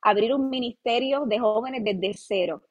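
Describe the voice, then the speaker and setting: Husky Puerto Rican woman pastor. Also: fairly high pitch, normal speed, lo-fi sound. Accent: Puerto Rican Spanish. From Puerto Rico. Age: 30s. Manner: humble, earnest, with many "eh" fillers. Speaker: pastor, guest. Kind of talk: podcast interview.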